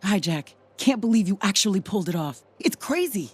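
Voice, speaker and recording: A woman's voice: mid-range voice, very animated, a little fast, slightly rough. A woman playing a brainy young scientist in a video game, with a dry, casual, sarcastic delivery. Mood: amazed. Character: wry, brainy young female scientist, sarcastic but hopeful.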